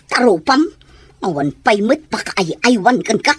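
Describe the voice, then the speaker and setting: A woman with an Ilocano accent playing an exasperated, sharp-tongued working wife who scolds and complains in a radio drama. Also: fairly high pitch, lively intonation, a little slow, slightly rough, dry recording. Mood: scolding, fed up.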